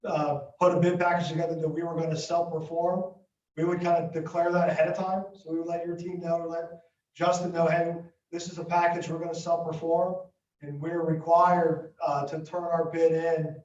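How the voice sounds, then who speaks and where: A man from Ohio representing a construction firm, calmly answering questions at a school board meeting. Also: on the high side, normal speed. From Ohio, USA. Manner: steady, businesslike explaining. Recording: muffled distant audio in an echoey room.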